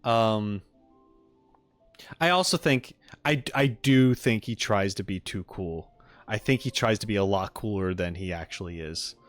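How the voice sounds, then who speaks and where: A man's voice: lowish voice, a little slow, faint music behind. A man streaming a casual reaction, chatting in an informal, hesitant, musing way close to the microphone. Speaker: streamer reacting to game videos. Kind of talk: casual livestream reaction chat.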